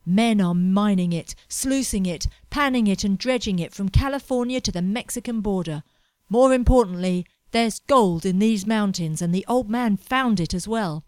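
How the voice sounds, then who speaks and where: A woman's voice: medium pitch, normal speed, dry recording, natural voice. A woman reading as a pompous young fortune hunter. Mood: fervent. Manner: melodramatic, complaining, loud.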